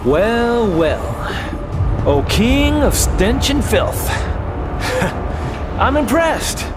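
A man's voice: very high voice, big pitch swings, very slow, exaggerated voice. A man voicing a cocky, wisecracking demon hunter with a swaggering, mocking manner in a video game. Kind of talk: video game cinematic acting. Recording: music behind the dialogue.

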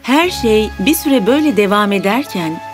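A woman's voice: medium pitch, lively intonation, moderate pace. A woman narrating a scripted fairy tale as the storyteller. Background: background music.

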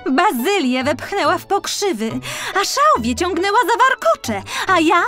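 A high, plaintive young lady-in-waiting played by a woman narrator, aggrieved and close to tears. She complains whinily in a children's fairy tale, over light music. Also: big pitch swings, unhurried, bright, forceful tone, exaggerated voice. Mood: tearful, aggrieved. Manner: whiny, plaintive.

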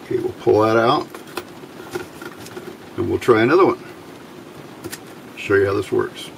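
A man giving an unscripted hands-on electronics demonstration in a measured, explanatory way, pausing between short phrases. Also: lowish voice, vocal fry. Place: indoors at a workbench. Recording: steady background room noise.

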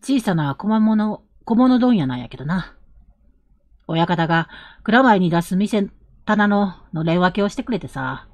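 A woman playing an earnest, protective young man, a rough-spoken elder brother, in a Japanese period audio drama. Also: mid-range voice, expressive, no echo, lightly acted. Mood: earnest, gentle. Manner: earnest, measured, gently insistent.

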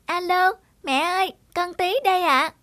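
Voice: very high voice, normal speed, bright, forceful tone, dry recording, studio quality. An actor voicing an eager, affectionate young boy with a polite, pleading manner in a children's cartoon. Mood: cheerful, pleading. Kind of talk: dubbed children's cartoon dialogue. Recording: music behind the voice.

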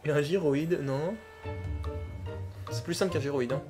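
A young woman co-hosting a gaming talk show live stream, teasing and animated as she runs a guessing game. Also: low-pitched, over music. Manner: teasing, animated, quick laughs. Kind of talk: playful livestream quiz chat.

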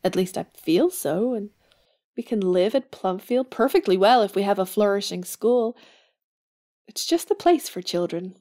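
An actress playing a warm, plucky, idealistic young woman in an audio drama, earnest and plain-spoken. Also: mid-range voice, expressive, normal speed, natural voice. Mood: excited, determined.

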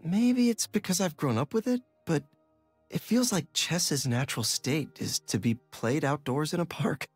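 An actor playing a friendly young adult New York superhero with an American accent, making wry, conversational remarks in a video game. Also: male voice, a little high, lively intonation, normal speed, husky, no echo, soft background music. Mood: musing. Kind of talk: game voice acting, casual reflection.

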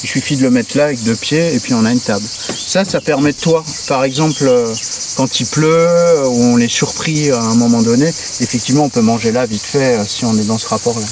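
A man's voice: medium pitch, brisk. A middle-aged man explaining things in a practical, hands-on way in a casual chat outdoors. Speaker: interviewee showing his camper van. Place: outdoors at the open back of a van in a grassy field. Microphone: handheld camera a step or two away. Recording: distant mic, clear outdoor noise.

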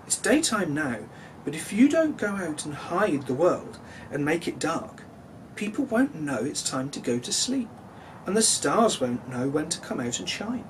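A man acts out a motherly bus from a children's storybook. The voice is raised and very lively, gently coaxing, with a soothing bedtime manner. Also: on the high side, unhurried, a little hiss or hum, poor audio. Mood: gentle, encouraging.

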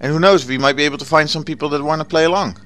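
A Dutch man with a Dutch accent, a native Dutch speaker, giving relaxed, chatty commentary over gameplay and sometimes searching for words. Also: on the high side, very fast. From Netherlands. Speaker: gaming channel host.

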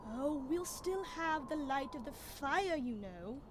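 High-voiced genial Doctor Watson, played by a woman. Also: lively intonation, slow pace, noisy background. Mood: teasing, genial. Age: young adult. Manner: chatty, polite, storytelling. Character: Doctor Watson, genial male storyteller and companion. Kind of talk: 1930s-style radio drama acting.

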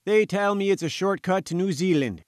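Man putting on a plaintive, high-pitched little reporter. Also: moderate pace, no echo, studio quality, close to an everyday voice. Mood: wheedling. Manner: sputtering, bleating protest. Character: plaintive, indignant fat little male newspaper reporter.

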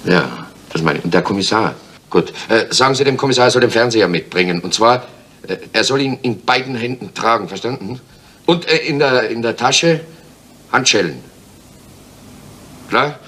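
An actor playing a demanding hostage-taker in a crime radio play, halting yet commanding. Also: male voice, medium pitch, expressive, fairly bright tone, natural voice. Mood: halting, threatening. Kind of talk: 1970s German crime radio play acting.

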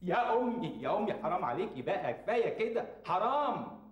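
A man's voice: high voice, sing-song, fairly quick, highs cut off. An actor playing a proud, vengeful young village man with an Egyptian Arabic accent, speaking in defiant declarations. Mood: pleading. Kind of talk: TV drama acting.

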